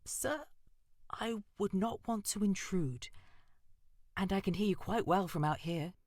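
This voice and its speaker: A man's voice: sits high, measured pace, darkish tone, no room sound, clean studio sound, voice pushed up. A man voicing a timid, hesitant female mouse in a storybook reading.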